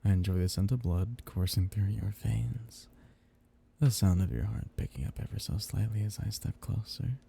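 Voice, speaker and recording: A man playing a seductive, possessive vampire captor in an intimate ASMR roleplay, teasing and condescendingly tender in a breathy, gravelly murmur. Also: fairly low pitch, moderate pace, mellow, a little hiss or hum. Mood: teasing, musing.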